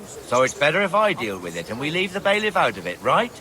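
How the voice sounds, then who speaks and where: A man's voice: on the high side, huge pitch swings, fast, pushed and bright, full character voice. A man voicing a blunt, bossy town watchman in a medieval video game, with a chatty, hectoring manner. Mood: wry, pressing. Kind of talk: dramatic game cutscene dialogue.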